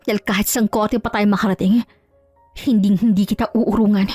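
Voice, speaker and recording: A woman's voice: mid-range voice, steady tone, a little fast, warm tone, no echo, lightly acted. A woman voicing a cold, powerful male company boss who speaks in a cutting, commanding way. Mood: firm. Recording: dry, faint music underneath.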